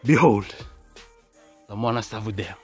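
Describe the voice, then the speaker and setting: A man's voice: on the low side, normal speed, husky. A young man from Fiji talking casually as he films a road trip vlog, outdoors and in a car, with plenty of fillers. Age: young adult. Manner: relaxed, chatty, lots of fillers. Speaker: vlogger. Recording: loud background music.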